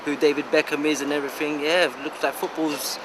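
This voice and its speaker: A man's voice: on the high side, level tone, quick pace, background noise. A man in his thirties, a football player, giving a casual interview in an eager, rambling, informal way. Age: 30s.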